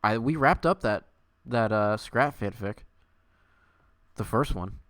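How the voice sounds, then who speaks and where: A man's voice: on the low side, lively intonation, takes it slowly, a bit bright, no echo. A man hosting a livestream, switching between dramatic story reading and casual, playful chat. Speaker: livestream host.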